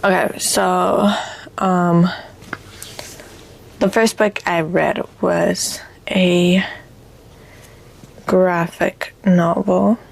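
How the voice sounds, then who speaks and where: A woman with a raspy voice, a little congested from allergies, chatting casually and hesitantly about books as a vlogger. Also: fairly low pitch, fairly even intonation, very slow, pauses often. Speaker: book vlogger. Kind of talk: casual book chat.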